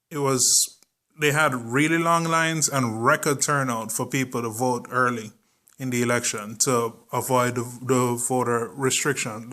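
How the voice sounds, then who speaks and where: A man's voice: medium pitch, bright, forceful tone. A young man with a Jamaican accent giving casual political commentary to his viewers, chatty and informal with frequent ums and the odd swear word. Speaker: political vlogger.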